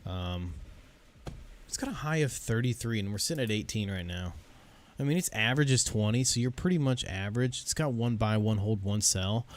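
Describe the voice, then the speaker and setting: A man's voice: on the low side, lively intonation, moderate pace. A man in his thirties on a casual livestream, thinking aloud as he reads charts. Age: 30s. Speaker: co-host. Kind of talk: casual livestream stock talk.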